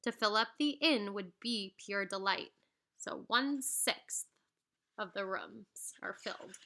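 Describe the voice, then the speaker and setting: A woman reading a children's picture book aloud in an animated, singsong storyteller's way, with teacherly asides. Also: medium pitch, slow pace.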